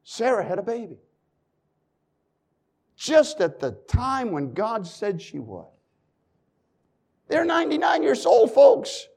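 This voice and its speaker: A man preaching a sermon, moving between loud emphasis and quiet asides, reading scripture in old-fashioned phrasing and adding folksy remarks to the congregation. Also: extremely high pitch, sing-song, takes it slowly, creaky, long pauses, breathy edge.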